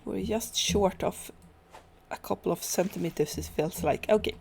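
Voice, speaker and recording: Woman, native Swedish speaker, let's play commentary, halting and relaxed, medium pitch. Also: creaky, slight background noise. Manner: relaxed, hesitant, many fillers and restarts. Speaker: gaming channel host.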